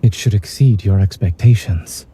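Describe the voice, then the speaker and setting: A reserved doctor from a romance game played by a man, low, very mellow and measured, the voice guiding your hand through a delicate task. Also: lightly acted. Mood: calm, wry. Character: reserved, composed male doctor, gently teasing companion. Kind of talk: video game voice acting. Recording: music under the voice.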